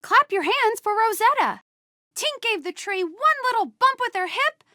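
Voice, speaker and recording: A woman's voice: very high-pitched, expressive, normal speed, bright, forceful tone, no echo, studio quality, full character voice. A woman voicing a playful, exuberant young animal fairy for a video game, encouraging and eager to tell stories. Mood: cheerful, encouraging. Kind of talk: animated video game character dialogue, storytelling and instructions.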